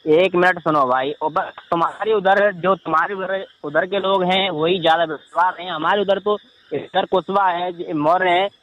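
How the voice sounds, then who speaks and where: Man, high-pitched voice, halting, plainspoken, interjecting, casual argument on recorded call. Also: fairly even intonation, fairly bright tone, poor audio. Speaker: caller. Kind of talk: casual argumentative phone conversation.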